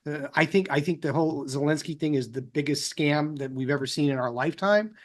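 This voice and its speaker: A man's voice: on the high side, fairly quick. A man hosting an interview, rambling and piling up repeated words as he sets up his question. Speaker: interview host. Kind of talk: conversational interview.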